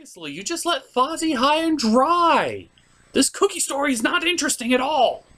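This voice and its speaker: A man's voice: very high-pitched, sing-song, moderate pace, sharp tone. A man hosting a scripted comedic review, with theatrical, exasperated reactions. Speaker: host of a review show.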